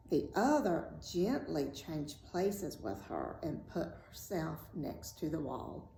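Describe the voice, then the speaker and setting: A woman reading a fairy tale aloud as host, in a deliberate, dramatic storytelling style. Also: deep voice, warm tone. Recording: roomy echo, faint background noise.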